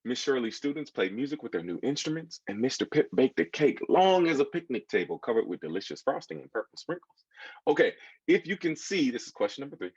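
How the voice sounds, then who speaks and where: Husky, animated American male storyteller. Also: medium pitch, brisk, no echo, highs cut off. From United States. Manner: expressive, engaging listeners with asides. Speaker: children's author reading his book aloud. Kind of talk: animated storytelling to children, with questions.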